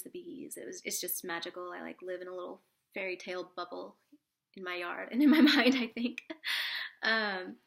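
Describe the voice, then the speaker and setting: A woman with a cold, sniffly and a little rough, chatting casually to camera as a spiritual vlogger, rambling with lots of fillers and restarts. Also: medium pitch, fairly bright tone.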